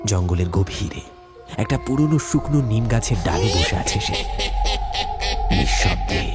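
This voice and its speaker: Male narrator for ghost story: lowish voice, very animated dramatic read, loud music underneath.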